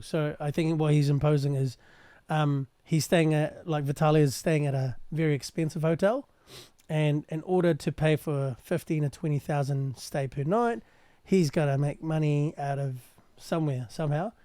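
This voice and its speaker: A man translating and explaining a news clip in a casual, halting way with many pauses. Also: on the high side, mellow, dry recording. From New Zealand. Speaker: translator and commentator.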